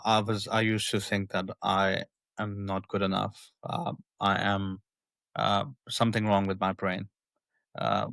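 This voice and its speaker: A man's voice: lowish voice, fairly bright tone, no room sound. A middle-aged man from northwest Pakistan with a Pakistani accent, a psychiatrist talking reflectively in an interview, often repeating words as he restarts. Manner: thoughtful, frequent repetitions and restarts. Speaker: psychiatrist guest.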